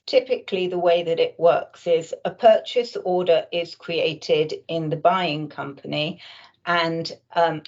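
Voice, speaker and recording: A woman presents in an explanatory webinar manner, like a consultant walking clients through a product. Her voice sits a little low and she speaks quite slowly, often hesitating mid-sentence. The audio is somewhat dull and muffled. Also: a little mellow.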